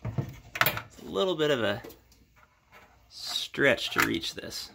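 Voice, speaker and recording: A man's voice: medium pitch, expressive, takes it slowly, vocal fry, background noise. A man in his thirties talking casually through a hands-on tutorial step by step, recorded in a small home workshop room. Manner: explains step by step in plain words. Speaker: hobbyist video host.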